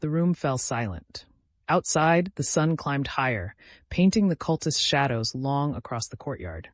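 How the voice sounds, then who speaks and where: A man's voice: mid-range voice, expressive, a little slow, no room sound. A man reading a dramatic scripted fantasy story as its narrator.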